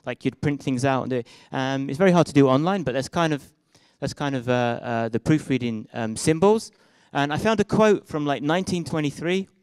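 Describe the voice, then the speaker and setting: A man's voice: mid-range voice, a little fast, hardly any pauses, darkish tone, clean tone, no echo. A young man with a British accent giving a casual talk at a meetup, speaking into a handheld microphone, hesitant with many fillers and restarts. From Britain and Israel. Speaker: conference speaker. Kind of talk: live meetup presentation. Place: meetup room with projected slides.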